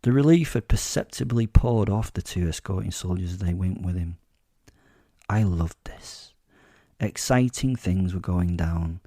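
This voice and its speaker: A man's voice: low-pitched, unhurried, dark tone, no room sound, studio quality. A man playing a sly, sadistic, self-assured captive werewolf who tells his story in a confiding, gloating way for a horror comedy audio drama. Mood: relishing.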